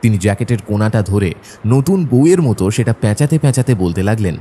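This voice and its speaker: An actor plays a male detective-story narrator. His low, mellow voice moves with lively pitch, over faint noise and ambience. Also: brisk, lightly acted. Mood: descriptive. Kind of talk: scripted dramatic narration.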